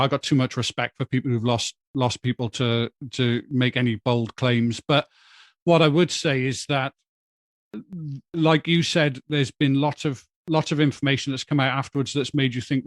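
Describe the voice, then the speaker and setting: Man talking calmly, dry sound. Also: mid-range voice, dry recording. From Wales. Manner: measured, thoughtful, with hesitant starts. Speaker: podcast guest. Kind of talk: casual podcast chat.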